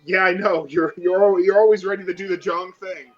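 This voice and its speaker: A man's voice: high voice, fairly even intonation, brisk, soft background music. A man talking casually at a tabletop role-playing game, rambling a bit and hesitating, recorded on a lo-fi microphone. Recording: dull, lo-fi microphone sound.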